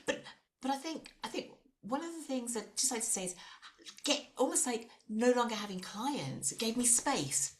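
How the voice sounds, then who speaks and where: A woman in her late sixties, a researcher and author, talking casually in a podcast conversation, chatty and animated, often restarting her phrases. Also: on the high side, normal speed, a little hiss or hum. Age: 60s. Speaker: guest, ageing researcher and author.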